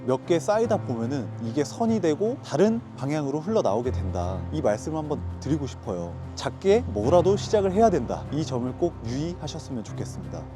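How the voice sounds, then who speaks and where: A man's voice: on the high side, sing-song, a little fast, hardly any pauses, music in the background. A man in his twenties who owns a lodging business, explaining his work earnestly in a casual outdoor interview, often posing rhetorical questions. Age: 20s.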